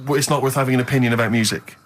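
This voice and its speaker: A man's voice: medium pitch, fairly even intonation, rapid-fire. Dry, mocking male radio co-host. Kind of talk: casual radio presenting. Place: radio studio.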